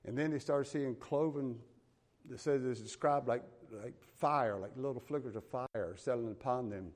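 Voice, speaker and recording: A man's voice: medium pitch, normal speed, darkish tone. A man with a Southern US accent preaching a sermon, reading scripture aloud and explaining it in a folksy, plain-spoken way. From American South.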